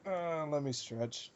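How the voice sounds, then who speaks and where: A man's voice: on the high side, highs cut off. A man chatting casually and jokingly as a regular on a podcast.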